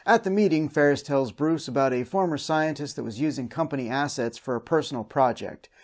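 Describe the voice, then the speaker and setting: A male review show host with a moderately high voice. He reads scripted recap narration in a comedic style, addressing the audience as a presenter. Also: a little fast.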